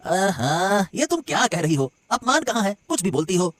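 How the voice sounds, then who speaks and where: A placating household servant played by a man with a very animated, swooping delivery. He is chatty and excitable, waving away worries in cartoon style over music. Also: high voice, normal speed. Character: meddling, placating male servant of a rich household. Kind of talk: Hindi cartoon story dialogue.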